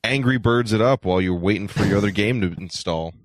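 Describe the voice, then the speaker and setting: Lowish-voiced male co-host, dry close sound. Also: quick pace, dry recording. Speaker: talk show co-host. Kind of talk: casual chat, wisecracking.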